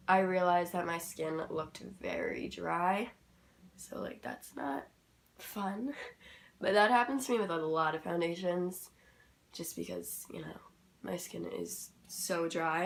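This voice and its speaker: A young woman vlogging a makeup tutorial from her bedroom, sounding tired and slightly raspy after just waking up, chatty and rambling. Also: medium pitch. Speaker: beauty vlogger. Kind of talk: casual makeup tutorial chat.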